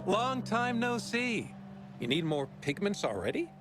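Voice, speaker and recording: A man's voice: high-pitched, big pitch swings, a little slow. An actor playing an easygoing, friendly man in a video game, talking in a casual, familiar way. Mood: breezy, friendly.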